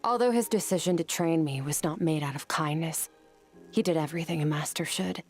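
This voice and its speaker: A low-voiced, solemn young swordswoman. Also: a little mellow, soft background music. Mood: grave, respectful. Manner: grave, measured delivery. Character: stoic young swordswoman, somber, driven, haunted by fear of her master. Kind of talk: scripted video game voice acting.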